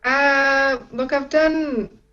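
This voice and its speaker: A woman's voice: high voice, steady tone, extremely slow, pushed and bright, lo-fi sound. A young woman from Pakistan, a stand-up comedian, chatting casually from home, laughing often and restarting her sentences. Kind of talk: casual chat. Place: at home in isolation.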